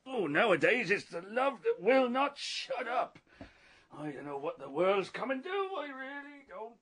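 Sharp-voiced, nosy old grumbler. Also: male voice, extremely high pitch, very animated, measured pace, husky, full character voice. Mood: lamenting. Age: older. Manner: gossipy, rambling, folksy dialect grammar. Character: nosy, garrulous, grumbling old male working-class neighbour. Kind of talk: radio drama acting.

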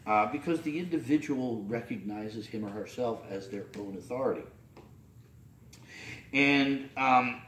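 A man's voice: medium pitch, a little hiss or hum. A middle-aged man, a priest, giving a loose, unscripted sermon full of fillers and restarts from a pulpit in a small chapel. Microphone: camera at a distance. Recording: roomy, distant camera audio.

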